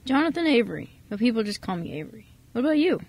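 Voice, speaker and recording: A woman playing an awkward, kindly mechanic in his thirties in an amateur radio drama, casual and hesitant, with a husky voice. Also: fairly high pitch, big pitch swings, fast, darkish tone, exaggerated voice. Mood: friendly, casual. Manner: hesitant, self-effacing, casual. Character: awkward, kindly thirty-four-year-old male mechanic.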